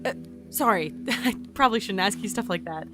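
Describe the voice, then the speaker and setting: A woman's voice: mid-range voice, over music, close to an everyday voice. A woman playing an earnest, anxious young entity in an audio drama, talkative and flustered. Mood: wistful, chatty. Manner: flustered, expressive, halting.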